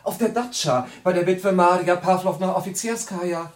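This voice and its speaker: An actor in a radio drama playing a brash, chatty, intrusive guest with a jovial, excitable, pushy manner. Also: male voice, sits high, normal speed, reverberant, close to an everyday voice. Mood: chummy.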